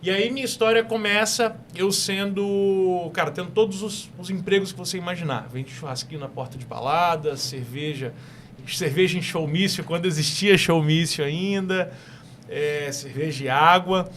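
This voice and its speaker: A young man with an Espírito Santo accent, talking eagerly as a talk show guest. His voice sits a little high, and he often circles back to restart a phrase. A faint hiss runs underneath him. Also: normal speed, fairly bright tone. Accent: Brazilian Portuguese from Espírito Santo. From Espírito Santo, Brazil. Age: young adult. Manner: enthusiastic, repeats and restarts phrases. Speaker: guest entrepreneur.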